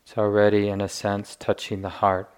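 A man guiding a meditation as a teacher, speaking gently and deliberately with long pauses. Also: on the low side, completely flat delivery, clear, resonant tone. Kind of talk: guided meditation instruction.

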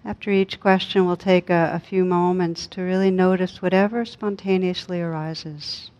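A woman leading a guided meditation as a teacher, speaking calmly and unhurriedly with long pauses. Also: fairly low pitch. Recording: dull, lo-fi recording.

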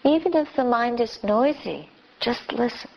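A woman teacher leading a guided meditation with gentle instructions in short, spaced phrases. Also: fairly high pitch, lively intonation, moderate pace, vocal fry. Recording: dull, lo-fi recording.

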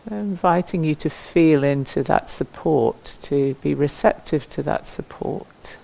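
A woman leading a guided meditation as a teacher, speaking gently and leaving long pauses between phrases. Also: deep voice, gravelly, rough recording.

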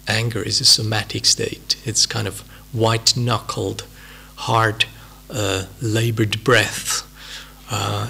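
A man with a Swiss accent giving an unhurried, reflective talk as a meditation teacher, with many pauses and fillers. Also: on the low side, creaky, pushed and bright, slightly rough. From Switzerland. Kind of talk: dharma talk at a retreat.

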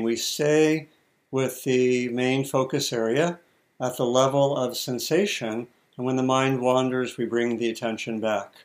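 A man leads a guided meditation as the teacher. He speaks in short, measured phrases at a middling pitch, leaving deliberate pauses as he gives each instruction.

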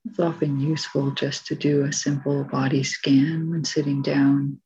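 A woman teaching meditation, giving calm, gentle spoken guidance with long pauses between phrases. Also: low-pitched, slightly rough, dull audio. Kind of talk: guided meditation, soft spoken instruction.

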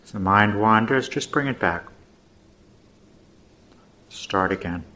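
A man teaching meditation, giving gentle, unhurried guided instructions with pauses. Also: on the low side.

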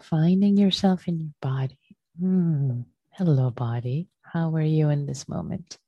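A woman teaching a guided meditation in a gentle, unhurried manner, leaving long pauses between short phrases. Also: low voice, husky. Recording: muffled, roomy sound.

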